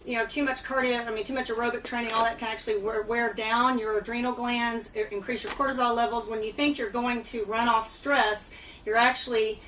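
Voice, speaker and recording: A woman's voice: fairly high pitch, fairly even intonation, fairly quick, a bit bright, recorded from a distance, a little hiss or hum, poor audio. Chatty woman trainer on radio, slightly off-mic. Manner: earnest, chatty, many fillers. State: recovering from lost voice. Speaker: fitness trainer guest. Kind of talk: casual radio talk. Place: radio studio.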